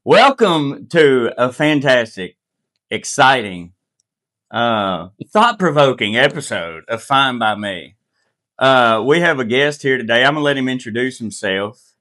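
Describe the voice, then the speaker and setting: A man's voice: medium pitch, animated, measured pace, a bit bright. A man hosting a podcast in a casual chat, easygoing and rambling with loose asides. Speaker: podcast host.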